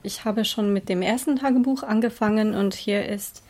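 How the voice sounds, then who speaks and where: A woman's voice: medium pitch, moderate pace, a little mellow, soft background music. A middle-aged American woman, a native English speaker and professor, answering interview questions in careful, earnest German with an American accent. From United States. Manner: careful, earnest learner's phrasing.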